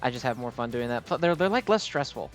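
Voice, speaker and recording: Male gaming host, mid-pitched, loose and rambling casual commentary, music playing behind. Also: animated, fast talker. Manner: rambling, easily distracted delivery. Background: game music.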